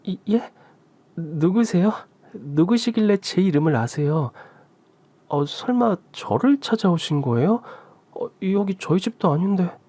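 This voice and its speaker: A woman's voice: fairly low pitch, animated, a little slow, warm tone, dry recording, voice pushed up. A man voicing a polite, earnest daughter-in-law of forty who gets easily flustered, in a radio story. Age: 40s.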